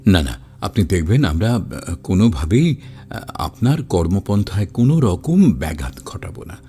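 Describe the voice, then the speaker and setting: Low, dark-voiced deferential companion. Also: male voice, very animated. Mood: nervous reassurance. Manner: halting, humble, pleading. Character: deferential, anxiously reassuring male companion. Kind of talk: Bengali horror audio drama acting.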